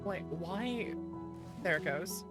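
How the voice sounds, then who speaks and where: Low-pitched woman streaming over music. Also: lively intonation, takes it slowly. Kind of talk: game livestream, reading in-game text aloud and reacting. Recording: music behind the voice. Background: game music.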